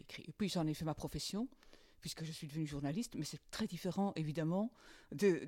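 Articulate woman journalist with a mellow voice. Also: sits low. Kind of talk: round-table discussion.

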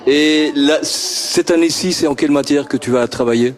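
A man's voice: on the high side, normal speed. Man, stammering and repetitive, friendly questioning, handheld mic, indoor room with children. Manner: stammering, repeats words, chuckles often. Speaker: interviewer at a homework-help group. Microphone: handheld microphone passed around.

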